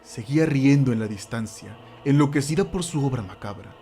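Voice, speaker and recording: A man's voice: medium pitch, mellow, breathy edge. Theatrical male horror narrator over music. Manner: theatrical, suspenseful, with dramatic pauses. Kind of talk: dramatic scripted horror narration for a radio drama.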